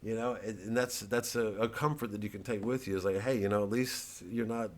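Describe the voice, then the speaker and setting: A man, a satirical comedian, rambling casually and thoughtfully in a halting, digressive monologue with some swearing. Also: on the low side, steady tone. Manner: halting, ruminative, digressive, profane.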